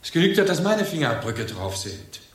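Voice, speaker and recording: An actor in a German radio crime play playing a brooding, insistent widower, speaking in a deliberate, probing and emphatic way. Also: male voice, medium pitch, very animated, echoey room, lightly acted. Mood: suspicious, urgent. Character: suspicious, insistent widower, brooding over his wife's accident.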